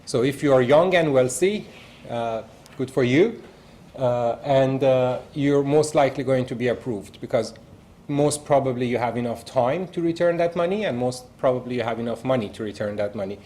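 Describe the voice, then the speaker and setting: A man's voice: mid-range voice, normal speed, a little mellow. A male university lecturer with an Iranian accent, a native Persian speaker, giving a recorded lecture and working through examples step by step with frequent fillers.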